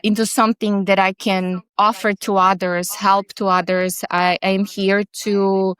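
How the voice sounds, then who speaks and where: Guest, woman, 40s, slow and halting, smooth lowish voice, dry close sound. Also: level tone, fairly bright tone. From Serbia. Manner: halting, searching for words with restarts. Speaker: podcast guest. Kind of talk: interview conversation.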